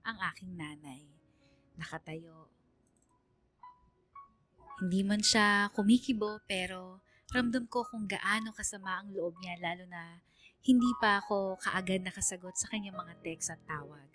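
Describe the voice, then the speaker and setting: A woman's voice: medium pitch, a bit bright, lightly acted. A woman voicing an anxious young Filipino student narrating his story in a radio drama.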